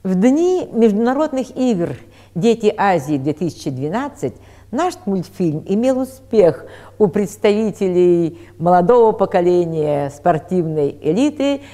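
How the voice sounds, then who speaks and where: A woman giving a formal, deliberate spoken presentation. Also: medium pitch, very animated, warm tone. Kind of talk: spoken presentation introducing a cartoon project. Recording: echoey hall, music behind.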